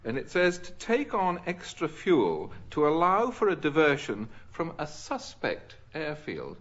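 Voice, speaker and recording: A man's voice: fairly high pitch, wildly swooping pitch, measured pace, fairly bright tone. A middle-aged British man chairing a radio panel game, chatting and bantering with the contestants. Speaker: quiz show chairman. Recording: dull old radio recording.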